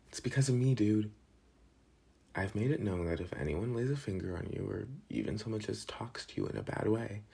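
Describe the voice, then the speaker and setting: A young man playing a protective, teasing but soft-hearted school delinquent in an intimate, casual ASMR boyfriend roleplay. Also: fairly low pitch, husky, heavily acted. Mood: defensive, protective.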